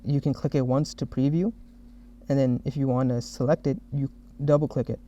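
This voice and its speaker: A man narrating a software demo as the developer who made it, explaining each step. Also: mid-range voice, fairly even intonation, a little fast. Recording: dull, muffled lo-fi audio.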